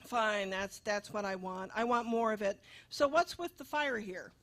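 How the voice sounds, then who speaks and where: A woman's voice: medium pitch, lively intonation, moderate pace, fairly bright tone, lightly acted. A woman playing a sarcastic, exasperated landlady in a comic radio play, needling and dry. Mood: mocking. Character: nagging, sarcastic female landlady, exasperated and money-minded.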